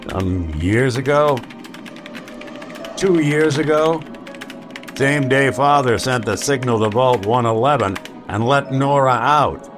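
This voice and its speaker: An actor playing a world-weary, sardonic detective in a post-apocalyptic radio mystery, with a dry, hard-boiled delivery. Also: male voice, mid-range voice, slow pace, music in the background, natural voice. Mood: intrigued. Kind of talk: old-time radio detective drama acting.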